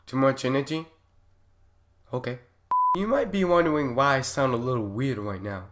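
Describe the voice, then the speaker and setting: Young male vlogger, sick and stuffy-nosed, casual chat, excitable outbursts. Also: mid-range voice, normal speed, background noise. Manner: loud, excitable reactions. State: sick with a cough and stuffy nose. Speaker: YouTube host reacting to videos.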